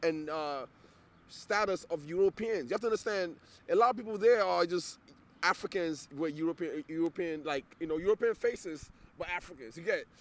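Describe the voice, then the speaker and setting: A man's voice: on the high side, fairly quick. A man from Nigeria, an interview guest, talking earnestly and restarting words as he searches for them. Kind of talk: casual interview conversation.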